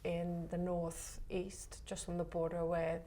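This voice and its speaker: A woman speaks in a fairly low, level voice that barely rises or falls. She talks softly and hesitantly, pausing often and filling gaps with small sounds, as a guest in a relaxed interview. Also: slight background noise. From Czech Republic. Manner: soft, hesitant, many pauses and fillers. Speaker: photographer guest.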